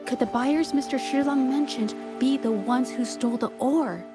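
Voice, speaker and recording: An actress playing a shy, gentle young woman painter in a video game, earnest and hesitant, speaking softly. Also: sits high, moderate pace, lightly acted. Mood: thoughtful, earnest. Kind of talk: video game story dialogue. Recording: game music behind the voice.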